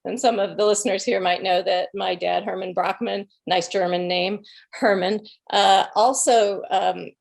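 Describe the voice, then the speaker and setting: A woman's voice: medium pitch, steady tone, unhurried, creaky. A middle-aged woman, a guest speaker telling rambling, anecdotal stories and chuckling between phrases. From Illinois, USA. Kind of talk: conversational storytelling.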